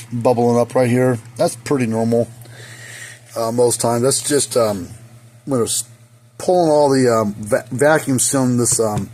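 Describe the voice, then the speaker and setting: A hoarse man talks through a repair in a plain, mid-range voice, rambling and folksy with plenty of ums and uhs. He explains things casually as he works in a warm shop, with a faint fan hum behind him. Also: mid-range voice. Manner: rambling, folksy, many fillers. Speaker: fence energizer repair technician. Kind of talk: casual how-to repair demonstration. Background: faint hum of a small fan.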